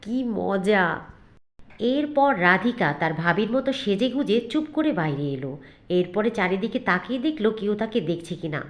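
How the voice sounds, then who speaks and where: A woman's voice: medium pitch, animated, a little fast, muffled recording. A woman reading scripted narration for a children's-style fairy tale as its storyteller.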